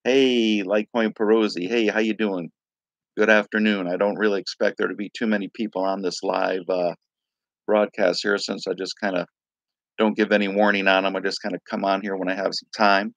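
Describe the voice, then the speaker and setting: A man with a raspy voice from a night of singing, hosting a casual crypto livestream, rambling loosely and greeting viewers by name. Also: lowish voice, little pitch movement, brisk, fairly bright tone, dry recording. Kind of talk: casual live-stream chat.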